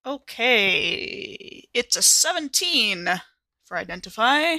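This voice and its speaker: A woman playing in a tabletop roleplaying game, talking casually and haltingly as she thinks aloud. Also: fairly high pitch, sing-song, noisy background. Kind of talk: casual in-character game chat.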